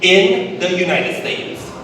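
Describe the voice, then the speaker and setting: A man from Thailand with a Thai accent, giving a public presentation in English as a conference speaker, deliberate and emphatic with repetition. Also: high-pitched. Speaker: conference speaker, business executive. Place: large indoor event hall. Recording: very echoey hall, distant, noisy ambience.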